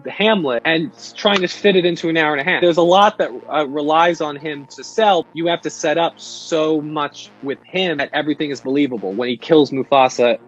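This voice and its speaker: Male guest, slightly high voice, reflective and long-winded in casual conversation. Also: fairly quick, few pauses, muffled recording, faint music behind. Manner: thoughtful, talks at length with restarts. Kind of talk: casual chat.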